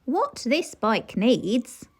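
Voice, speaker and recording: A cheery, resourceful eccentric lady from a children's storybook, as a woman reader acts her out. She draws her words out slowly and decisively, with big swoops in pitch. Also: big pitch swings, slightly rough, full character voice. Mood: determined, pondering. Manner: slow, emphatic, drawn-out delivery. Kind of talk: children's storybook read-aloud.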